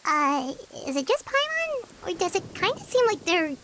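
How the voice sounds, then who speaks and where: A woman voicing a tiny, excitable female companion in a video game who refers to herself in the third person and stammers when flustered. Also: extremely high pitch, normal speed, a little hiss or hum, heavily acted. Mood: curious.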